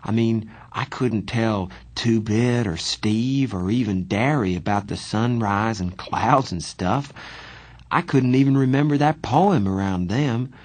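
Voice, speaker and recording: A man's voice: lowish voice, unhurried, darkish tone. A man voicing a sensitive, bookish teenage boy in a story, speaking in a reflective, informal way. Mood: confiding.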